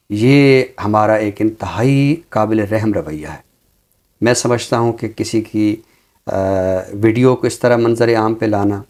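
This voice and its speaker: A man speaking as a commentator on a literary show, in a deliberate, reflective way with emphatic phrasing. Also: fairly low pitch, a little mellow. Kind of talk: reflective commentary and Urdu poetry recitation.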